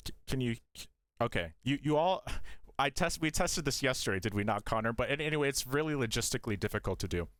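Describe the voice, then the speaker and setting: A man's voice: mid-range voice, expressive, quick pace, a bit bright, dry recording, clean studio sound. A man hosting a casual live stream, talking loosely with restarts and fillers. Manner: rambling, with many restarts and fillers. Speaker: host of a game studio demo stream.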